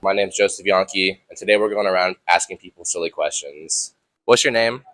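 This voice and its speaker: A man's voice: sits low, a little fast, fairly bright tone, poor audio. A teenage boy reporter asking people silly questions in a casual, cheerful way. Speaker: student reporter. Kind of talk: man-on-the-street interviews.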